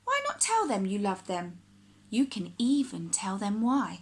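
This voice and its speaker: A woman reading a children's picture book aloud like a primary teacher, with expressive, deliberate phrasing for young listeners. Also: medium pitch.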